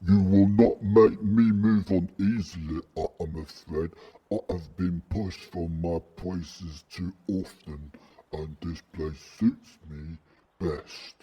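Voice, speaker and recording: A man voicing a gigantic, peaceable troll in an audio drama, husky and ponderous, with broken grammar and a wariness of violent humans. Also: low voice, fairly even intonation, slow pace, lo-fi sound, full character voice. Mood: calm, weary resolve. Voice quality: husky, dark voice.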